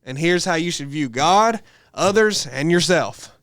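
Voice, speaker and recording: A man's voice: a little high, expressive, unhurried, fairly bright tone, no echo, studio quality. An American man in a casual religious podcast discussion, talking in an emphatic, colloquial way as a host. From United States.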